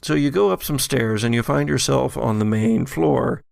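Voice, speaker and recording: A man narrating a tabletop horror game as game master, in a measured, halting storytelling manner. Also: medium pitch, gravelly, a little mellow, no room sound.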